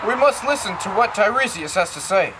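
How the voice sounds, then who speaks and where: A man acting a high-pitched pleading elder. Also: lively intonation, fairly quick, background noise. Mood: earnest. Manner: formal, earnest. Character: grave pleading citizen elder addressing his king. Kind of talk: amateur stage reading of Greek tragedy.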